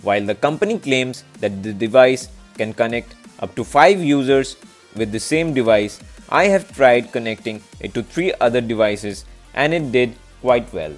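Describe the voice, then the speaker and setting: A man's voice: medium pitch, expressive, moderate pace. A man presenting a scripted product review as a video reviewer, in narration style. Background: background music.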